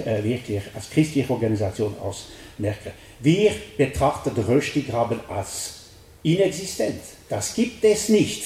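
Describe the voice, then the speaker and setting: A man's voice: mid-range voice, dark tone, reverberant. Earnest man with a Swiss French accent. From French-speaking Switzerland. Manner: earnest, halting with many fillers. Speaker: guest speaker.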